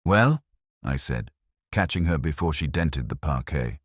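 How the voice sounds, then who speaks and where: A man's voice: very deep voice, normal speed, dry recording, clean studio sound. A man narrating a scripted detective story in a dramatic, wry first-person manner. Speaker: narrator and host of a mystery story channel.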